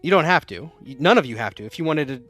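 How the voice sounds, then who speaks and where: Male game master, mid pitch, rules explainer for a tabletop session, with faint background music. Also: expressive, quick pace, fairly bright tone.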